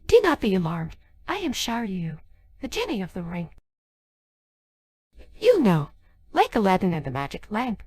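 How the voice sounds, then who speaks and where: A woman's voice: medium pitch, wildly swooping pitch, moderate pace, long pauses, a little mellow, breathy edge, no room sound. A synthetic female voice playing an earnest, formal young genie of a magic ring in a dubbed game cutscene. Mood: urgent, earnest. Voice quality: synthetic text-to-speech voice. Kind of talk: dubbed game cutscene dialogue by text-to-speech.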